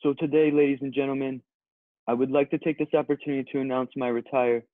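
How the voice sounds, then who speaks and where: A man playing a dim, vain, earnest male model in a comedy table read, speaking solemnly and haltingly. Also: medium pitch, flat delivery, no room sound, close to an everyday voice. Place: indoors at home on a video call. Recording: narrow, tinny phone-like call audio.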